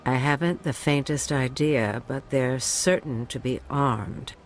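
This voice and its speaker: An actor playing a smooth-talking, calculating criminal in a video game, with polished, formal speech. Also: male voice, mid-range voice, measured pace, slight background noise, natural voice. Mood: calculating, composed. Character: smooth-talking, calculating crime partner with a business proposition. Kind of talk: video game character dialogue.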